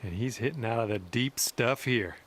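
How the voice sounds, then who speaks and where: A man's voice: medium pitch, normal speed, a bit bright. A man giving informal, colloquial golf commentary in the style of a sports video game. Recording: clear background noise.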